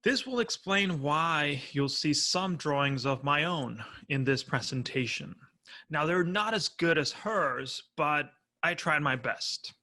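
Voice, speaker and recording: Bright-voiced Argentine man giving a talk. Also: fairly high pitch, animated, slow pace. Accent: Argentine Spanish. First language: Spanish. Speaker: conference speaker. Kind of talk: prerecorded conference talk.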